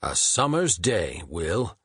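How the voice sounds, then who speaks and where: A man's voice: low-pitched, wildly swooping pitch, takes it slowly, a bit bright, slightly rough, dry recording, clean studio sound, full character voice. A man doing a blunt Southwark butcher. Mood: unsure. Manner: short, blunt replies. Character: plain, nervous London butcher courting a wife.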